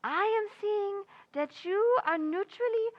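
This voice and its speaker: Slow, high-voiced lady piano teacher. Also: muffled recording. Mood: mysterious, coy. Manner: mangled grammar, progressive verbs, deliberate and polite. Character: foreign woman piano teacher and fortune teller, polite, crafty. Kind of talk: old-time radio comedy acting.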